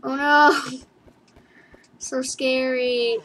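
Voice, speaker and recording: A woman casually narrating her play of a game for viewers, rambling and chatty, with giggles and excited yelps. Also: high voice, extremely slow, low-quality recording. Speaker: gaming YouTuber. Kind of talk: casual let's play commentary. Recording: game music under the voice.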